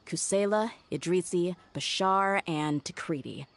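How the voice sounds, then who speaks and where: A woman's voice: lowish voice, measured pace, no room sound. A woman playing a tough, confident young female mercenary in a video game, speaking in a blunt, assertive, streetwise manner. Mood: pondering, serious. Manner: assertive, frank, shifts from menacing to matter-of-fact.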